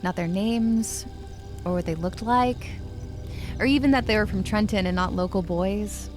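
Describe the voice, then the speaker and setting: A woman's voice: mid-range voice, moderate pace, soft background music, natural voice. A woman acting a wounded, defensive woman in her twenties in a dramatized audiobook, tough and guarded. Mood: bitter, guarded. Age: 20s. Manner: guarded, bitter, profane. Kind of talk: dramatized audiobook, first-person recounting.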